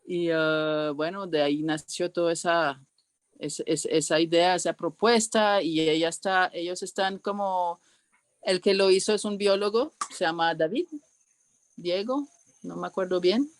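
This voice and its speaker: A woman's voice: on the low side, a little slow, dry recording. Woman guest, dry sound, searching for words. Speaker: interview guest, cultural organiser. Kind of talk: casual conversational interview. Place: remote online call.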